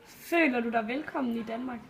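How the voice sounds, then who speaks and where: High-voiced woman asking short questions. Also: a little fast, gravelly. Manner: brief, plain questioning. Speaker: interviewer.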